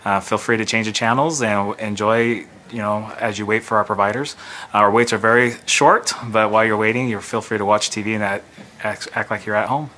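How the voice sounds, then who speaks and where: Bright, low-voiced American man. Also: steady tone, fairly quick, a little hiss or hum. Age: 40s. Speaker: nurse manager. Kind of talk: promotional interview. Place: clinic reception area indoors.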